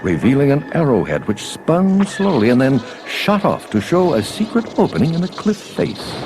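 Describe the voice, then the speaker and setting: A man reading a scripted children's adventure story as a dramatic narrator, over music. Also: mid-range voice.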